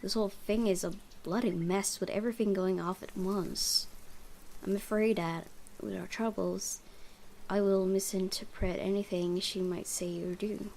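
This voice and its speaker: A woman's voice: medium pitch, steady tone, moderate pace, dark tone. A woman game streamer reading story text aloud and adding casual commentary. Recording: faint background noise.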